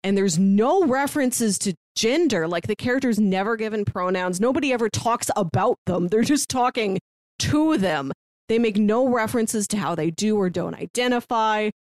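A woman co-hosting a podcast over a remote call, chatting casually and excitedly, with lots of "like" as she talks. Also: mid-range voice, normal speed, dry recording. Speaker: podcast co-host. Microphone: remote call mic.

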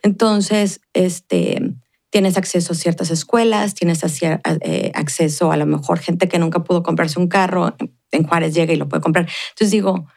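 A woman's voice: lowish voice, steady tone, normal speed, creaky, a little mellow. A middle-aged woman from the border region, a podcast guest talking earnestly and reflectively, with many fillers. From Mexican border region. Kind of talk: casual conversation.

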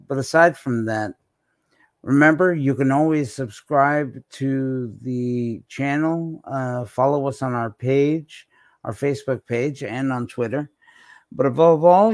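A man's voice: medium pitch, no echo. A man giving casual announcements as a podcast host.

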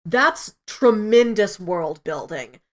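A woman's voice: medium pitch, animated, slow. A woman hosting a podcast, explaining her opinions in an enthusiastic, casual conversational way. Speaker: podcast host. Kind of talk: casual review discussion.

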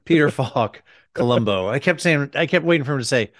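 A man chatting as a podcast guest, easygoing and joking, a little gravel in his voice, often restarting his sentences. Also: mid-range voice, animated, brisk, no echo, studio quality. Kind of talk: casual podcast chat.

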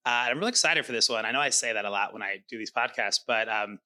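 A man's voice: on the low side, racing, pushed and bright. A man hosting a podcast, introducing his guest in an upbeat, chatty way with plenty of fillers. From not from New York. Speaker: podcast host. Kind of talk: casual interview.

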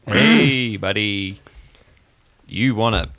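A man playing a muddled, defensive fellow with a halting, distracted manner in an improvised audio drama. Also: on the low side, a bit bright, exaggerated voice.

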